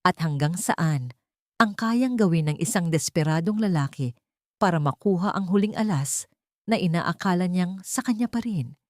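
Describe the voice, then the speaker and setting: A woman narrating a dramatic audiobook story in a measured, dramatic way. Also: fairly low pitch, lively intonation, no echo, studio quality.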